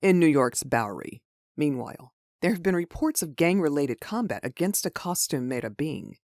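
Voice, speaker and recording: A woman acting in a comic dub as a formal, composed television news reporter with a measured delivery. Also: sits low, lively intonation, a little mellow, no room sound, clean studio sound. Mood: serious, neutral.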